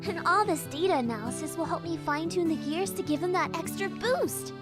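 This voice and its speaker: A gentle, helpful anime-game schoolgirl, with a high girlish pitch. She is polite and earnest, over loud background music. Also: lively intonation, a little fast. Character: friendly, helpful academy girl, gentle and earnest. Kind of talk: anime visual novel game acting.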